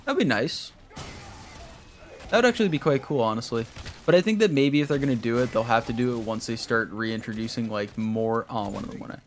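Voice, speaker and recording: A man's voice: mid-range voice, brisk, soft background music. A young man streaming a game, chatting casually with his viewers in a rambling way while he plays. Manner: rambling, talks to chat while playing.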